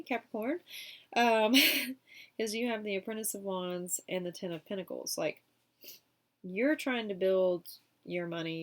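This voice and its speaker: A woman with a cold doing a casual weekly tarot reading, chatty and full of fillers. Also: lowish voice. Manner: chatty, many fillers like um and you know. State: sick, sniffling. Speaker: tarot reader.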